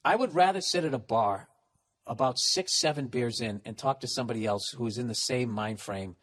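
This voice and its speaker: A man's voice: medium pitch, a little fast, fairly bright tone. A man in his forties in a solo comedic podcast rant, profane and sarcastic. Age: 40s. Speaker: podcast host.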